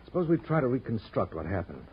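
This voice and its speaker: An actor playing a self-assured, wisecracking American private detective in a 1940s radio drama, quick and insistent in manner. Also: male voice, medium pitch, very animated, husky. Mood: brisk, playful. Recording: dull, lo-fi old radio recording.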